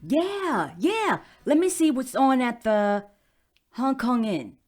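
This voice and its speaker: Bold, high-pitched ex-rocker pal. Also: female voice, very animated, slow, darkish tone, faint music behind, exaggerated voice. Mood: playfully bossy. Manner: bossy, teasing, exuberant. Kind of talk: sci-fi romance audio drama.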